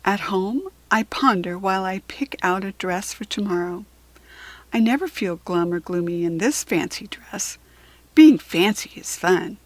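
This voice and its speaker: A woman with an American accent reading a children's story aloud, expressive and clearly phrased for young learners. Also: medium pitch, a little slow, no room sound, rough recording. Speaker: children's book reader.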